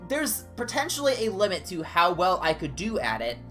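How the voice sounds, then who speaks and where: A man with a higher-pitched voice, chatting casually and discursively as a game streamer. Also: normal speed, soft background music. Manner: animated, discursive, jumping between tangents. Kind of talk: casual rambling livestream chat during gameplay.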